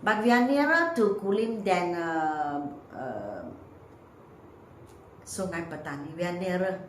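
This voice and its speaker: A woman's voice: fairly low pitch, lively intonation, unhurried, reverberant, a little hiss or hum. A woman with a Malaysian English accent teaching a grammar lesson, explaining step by step with many fillers and restarts. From northern Peninsular Malaysia. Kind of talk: recorded online grammar lesson. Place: classroom with a whiteboard.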